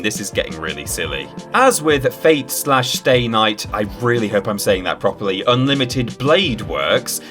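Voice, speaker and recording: Bright, animated man narrating a countdown. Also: fairly low pitch. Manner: wry, conversational asides. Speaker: video list presenter. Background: background music.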